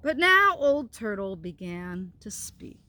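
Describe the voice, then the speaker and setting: A woman reading a children's picture book aloud outdoors, with expressive phrasing and casual asides. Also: medium pitch, takes it slowly. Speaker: storyteller, pastor. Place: outdoors in a park.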